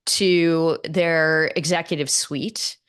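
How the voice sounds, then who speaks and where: A woman's voice: sits low, fairly even intonation, slow, a bit bright, smooth. A middle-aged woman from Northern California hosting an interview, talking in long rambling turns full of fillers and restarts. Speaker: podcast host. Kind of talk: interview conversation.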